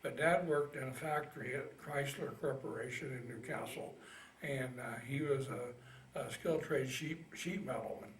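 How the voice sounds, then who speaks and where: A man of about eighty from Indiana with a Midwestern American accent, recalling his past in a halting, folksy way as an interviewed Navy veteran. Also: medium pitch. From Indiana, United States. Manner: halting, folksy plain speech. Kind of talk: casual oral history recollection. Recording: roomy, distant mic, faint noise.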